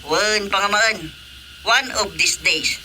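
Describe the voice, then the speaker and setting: A man's voice: sits high, slow, sharp tone, slight background noise, full character voice, low-quality recording. A man playing a cocky, flirty village youngster in a comic radio drama, with an Ilocano accent. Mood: playful.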